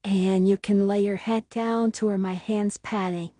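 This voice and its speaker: A woman playing a gentle, doting mother who soothes her small baby in a soft, tender, cooing ASMR bedtime roleplay. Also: medium pitch, steady tone, a little slow, no room sound, close to an everyday voice. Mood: gentle, playful. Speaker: ASMR audio roleplay performer.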